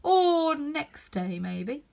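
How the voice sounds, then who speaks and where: A woman voicing a solemn, dim, literal-minded male frog footman in a storybook, slow, loud and pompous. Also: high voice, sing-song, creaky, pitched above the performer's own voice, exaggerated voice. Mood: obstinate, indifferent.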